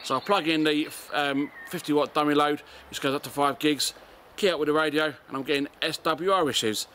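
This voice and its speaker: English man, forties, slightly high voice, casual video host presenting outdoors with light background noise. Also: steady tone, a little fast, pushed and bright. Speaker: amateur radio video host. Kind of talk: casual product demonstration. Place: outdoors in a garden and on a street. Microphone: camera mic, arm's length or further.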